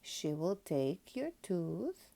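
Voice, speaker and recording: A woman voicing a gentle, reassuring mother pig in a storybook, patiently explaining and soothing. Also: fairly low pitch, very animated, drawn-out words, gravelly, heavily acted.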